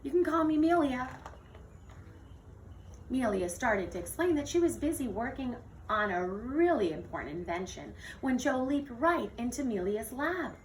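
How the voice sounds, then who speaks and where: A woman teacher reading a children's picture book aloud in an expressive, theatrical storytelling manner. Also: on the high side, moderate pace. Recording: distant mic with faint noise.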